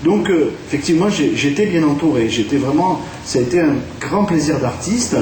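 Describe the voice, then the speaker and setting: A man's voice: on the high side, animated, fairly quick, a little mellow. A man from France, a theatre director, talking in a radio interview with many fillers and restarts. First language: French. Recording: distant, echoey room with faint hiss.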